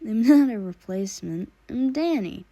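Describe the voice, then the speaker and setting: A woman's voice: a little high, animated, normal speed. Woman voicing a giddy, giggly teenage boy. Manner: giggly, loud, lilting.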